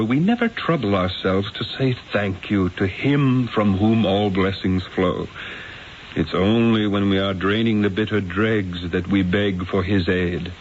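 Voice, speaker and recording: An actor playing a wily, eloquent Renaissance painter in a radio drama, speaking in a theatrical, persuasive, rhetorical way. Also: male voice, lowish voice, slight background noise, natural voice. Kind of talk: old-time radio drama acting and first-person narration. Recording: dull lo-fi radio recording, music behind.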